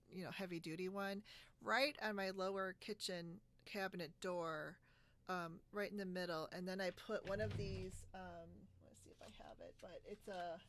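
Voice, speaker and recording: A woman's voice: on the low side, fairly bright tone, no room sound. A woman chatting casually in a bubbly, giggly way, recorded at home on a video call. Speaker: podcast host. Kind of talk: casual chat about sewing favorites.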